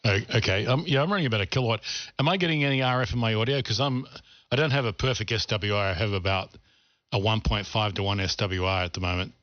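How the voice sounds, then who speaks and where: A man in casual amateur radio chat over a two-way radio, speaking in a somewhat halting, hesitant way. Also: on the low side, dry recording. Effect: two-way ham radio transmission. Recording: dull radio audio with faint noise.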